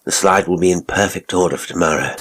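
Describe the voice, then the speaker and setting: A man voicing a measured, open-minded young Victorian gentleman in an audiobook, speaking formally and deliberately. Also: low voice. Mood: keen. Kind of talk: audiobook character acting.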